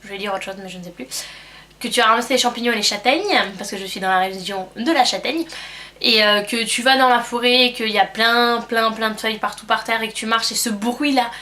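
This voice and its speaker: A woman's voice: fairly high pitch, fairly even intonation, brisk, pushed and bright. A young French woman with a cold chatting at home as a beauty vlogger, rambling and hesitant with lots of fillers. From France. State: has a cold. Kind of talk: casual chat answering a tag.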